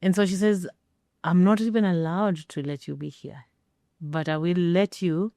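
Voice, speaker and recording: Mellow, low-voiced woman. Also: fairly even intonation, moderate pace, no echo, studio quality. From Kenya. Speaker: interview guest, former air traffic controller. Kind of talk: personal storytelling in a sit-down interview.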